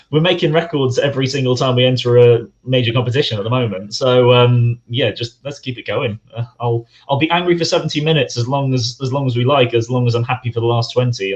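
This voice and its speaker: A hoarse man from England, a guest fan, chatting casually and rambling with lots of fillers. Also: mid-range voice, a little fast, lo-fi sound. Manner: rambling, many fillers and restarts. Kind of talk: casual chat.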